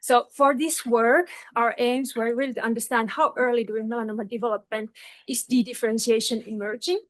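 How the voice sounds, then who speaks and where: A woman with a Finnish accent giving a scientific conference talk, careful and measured, with frequent "uh" fillers. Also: fairly high pitch, fairly even intonation, no room sound. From Finland. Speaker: research speaker.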